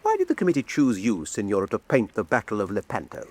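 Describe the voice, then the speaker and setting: Dignified, sensitive, expressive admiral. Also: male voice, lowish voice, slightly rough, full character voice. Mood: courteous. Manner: measured, formal, wounded politeness. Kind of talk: full-cast historical radio drama acting.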